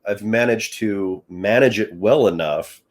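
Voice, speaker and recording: Low-voiced man with a stutter. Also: a little slow. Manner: hesitant, repeating words and trailing off, then laughing lightly. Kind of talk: conversational interview.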